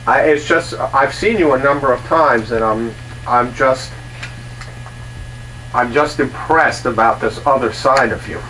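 Bright-voiced chatty show host man. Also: mid-range voice. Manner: chatty, complimentary, halting restarts. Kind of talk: casual interview chat. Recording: distant mic with faint noise.

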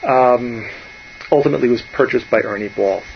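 Gravelly bass-playing man on lo-fi radio. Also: moderate pace, slight background noise. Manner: relaxed, rambling, trails off softly. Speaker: bass player guest. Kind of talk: casual musician chat.

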